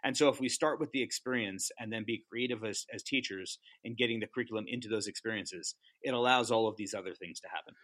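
A man talking about teaching as a podcast guest, animated and passionate, often asking "right?". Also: on the low side, fast talker, few pauses, dry recording. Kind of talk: casual interview chat.

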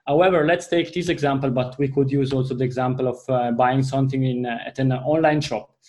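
A man with an Italian accent whose first language is Italian, speaking as a guest in a business discussion and explaining his points through long, halting examples. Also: medium pitch, steady tone, darkish tone. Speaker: panel guest.

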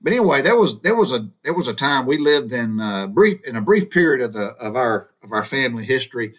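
Southern guy from georgia rambling through old childhood stories, folksy, lots of restarts and asides, regular mid-pitch voice. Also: very animated, a little fast. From Georgia, USA. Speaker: storyteller recounting his childhood. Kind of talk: casual spoken storytelling.

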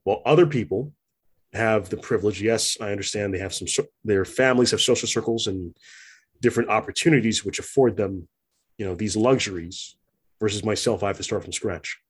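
A man with a fairly low voice talks in a sit-down interview. He reflects slowly and often restarts his sentences, reaching for the right words. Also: slightly rough. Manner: reflective, hesitant, many fillers and restarts. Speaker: interview guest. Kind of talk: conversational interview.

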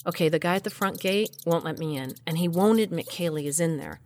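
A woman's voice: fairly low pitch, fairly quick, noisy background, natural voice. An actress playing a wry heroine who narrates her adventure in the first person in an audio drama. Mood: matter-of-fact.

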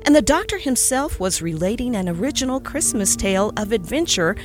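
A woman's voice: on the high side, very animated, a little fast, over music. Woman reading a storybook aloud. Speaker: podcast host and story narrator. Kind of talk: storybook narration.

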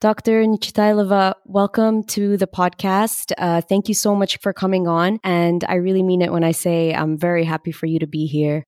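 A young woman hosts a podcast with a lowish voice recorded close and dry. She reads her opening cleanly, then chats with earnest enthusiasm. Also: level tone, moderate pace, few pauses, a little mellow, no echo. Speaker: podcast host and producer. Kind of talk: scripted introduction then casual interview.

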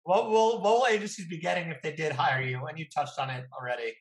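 A man interviewing a guest over a video call, asking relaxed, friendly questions. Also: on the high side, fast talker.

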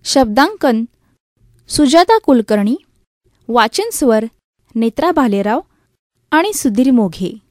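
High-voiced woman radio announcer. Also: very animated, slow, long pauses, a little mellow, no room sound, clean studio sound.

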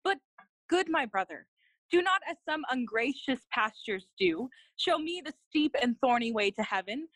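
A woman playing a dutiful, earnest young noblewoman in a classical Shakespeare audio play, speaking formal verse in measured phrases. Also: high-pitched, expressive, fairly bright tone, no room sound, rough recording. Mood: gently teasing.

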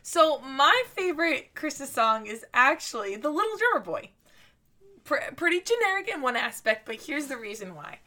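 A young woman in casual chat, telling animated stories and laughing often. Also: high voice, moderate pace. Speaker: podcast host.

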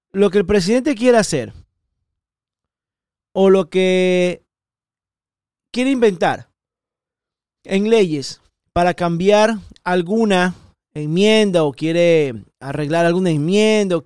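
A man's voice: a little mellow, clear, resonant tone, no room sound, clean studio sound. Emphatic Ecuadorian man hosting a podcast. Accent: Ecuadorian Spanish. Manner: emphatic, repetitive, with sudden high-pitched stresses. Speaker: podcast host. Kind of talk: opinionated political monologue.

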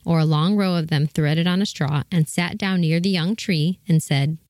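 Female story narrator, slightly low voice, scripted fairy tale reading, close dry sound. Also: steady tone, moderate pace, darkish tone, no echo.

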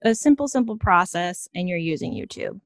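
A woman's voice: on the low side, animated, fairly quick, creaky, dry recording, studio quality. A woman hosting a casual interview in a chatty, agreeable way. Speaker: interviewer, host.

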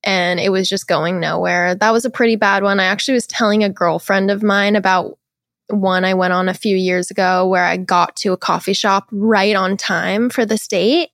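A young woman is recounting her dating mishaps as a podcast guest. She tells them in an animated, giggly way. Her voice is recorded close and dry, with no room sound. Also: mid-range voice, moderate pace, no room sound. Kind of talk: casual interview chat.

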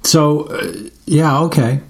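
A man from the US East Coast hosting a guitar radio show, asking questions in a relaxed, chatty way with fillers and restarts. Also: fairly high pitch, takes it slowly, creaky. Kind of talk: casual radio interview.

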